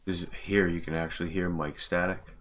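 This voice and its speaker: A man's voice: low-pitched, flat delivery, normal speed, a bit bright, a little hiss or hum. A man giving casual livestream commentary, muttering to himself as he works on game mods. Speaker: streamer, game mod maker. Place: indoors at a computer.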